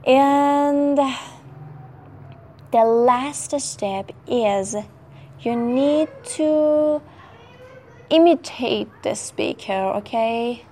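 A woman whose first language is Turkish gives a spoken English lesson, slow and deliberate. Her voice sits fairly high, and she stresses key words and keeps asking "okay?". Faint noise runs underneath. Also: warm tone. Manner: emphatic, checks in with "okay?" often. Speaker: English teacher.

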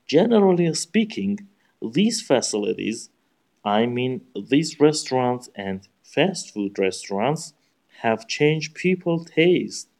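A man from Iran with an Iranian accent, speaking English as a second language and giving a prepared speech in formal, deliberate phrasing. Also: medium pitch, a little mellow. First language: Persian. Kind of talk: practice speech, memorized.

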